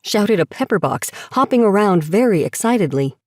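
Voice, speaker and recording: A woman's voice: medium pitch, lively intonation, normal speed, dry recording, clean studio sound. A woman reading scripted audiobook narration as the narrator of a story.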